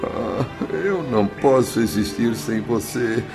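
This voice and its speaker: A man's voice: lowish voice, takes it slowly, over music. A man acting in a radio drama as the remorseful, desperate spirit of a dead father, pleading emotionally. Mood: despairing remorse.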